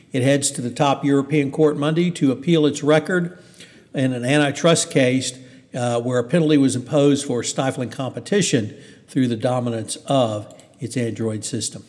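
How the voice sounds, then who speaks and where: A man hosting a news podcast, reading and commenting on stories in a conversational, halting way. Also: medium pitch, darkish tone. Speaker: podcast host. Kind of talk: news commentary, semi-scripted. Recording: hall-like reverberant room.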